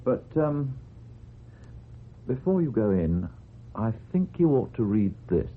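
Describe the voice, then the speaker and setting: An actor playing a discreet, politely deferential police detective sergeant with a British accent in a radio drama. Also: male voice, fairly low pitch, big pitch swings, slow pace. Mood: polite, guarded. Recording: muffled, noisy old radio recording.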